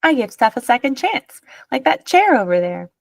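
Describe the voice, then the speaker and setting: A woman voicing a gruff, eccentric aunt who scavenges junk, speaking bluntly in a children's picture book reading. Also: high voice, very animated, moderate pace, no room sound, studio quality, voice pushed up, heavily acted. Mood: matter-of-fact.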